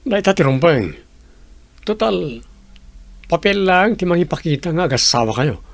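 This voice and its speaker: A man's voice: fairly high pitch, lively intonation, normal speed, no echo. An actor playing an anxious young man, a questioning and pressing secret partner, in a radio drama. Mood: reasoning.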